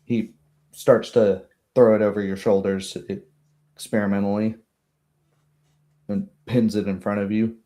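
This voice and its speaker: A man's voice: fairly low pitch, level tone, measured pace, pauses often, dark tone. A man narrating a tabletop roleplay game as game master, in vivid descriptive phrases.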